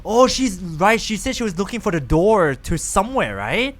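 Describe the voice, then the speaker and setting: A young man live-streaming a game, chatting with viewers in an animated, rambling way. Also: high voice, normal speed. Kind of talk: casual live-stream chat while gaming.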